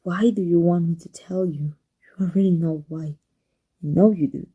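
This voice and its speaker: A woman with a Mexican accent playing a proud, secretly devoted villainess in an intimate ASMR roleplay, halting and emotional. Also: lowish voice, warm tone, breathy edge, dull audio. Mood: angry, guarded. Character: proud, flustered, secretly devoted villainess with life-transfer power.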